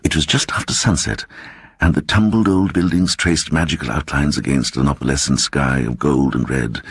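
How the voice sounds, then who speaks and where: An actor voicing a timid little man who earnestly and softly recounts an eerie adventure in a dramatic audiobook reading. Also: a bass voice, creaky, slightly rough, dry recording, full character voice. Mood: wondering. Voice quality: soft voice. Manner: hesitant, earnest, growing excited.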